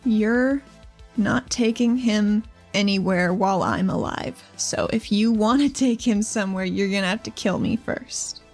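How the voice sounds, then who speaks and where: A woman's voice: medium pitch, a little slow. A woman voicing a fierce heroine who protects her city in a tabletop game, firm and threatening. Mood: defiant. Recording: music behind the voice.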